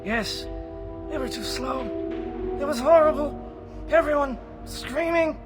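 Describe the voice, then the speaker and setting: An actress playing a badly wounded, frightened night-shift worker in a video game, strained and halting with pain. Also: female voice, sits high. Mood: horrified, pained. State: in pain, gasping, coughing. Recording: loud game music behind.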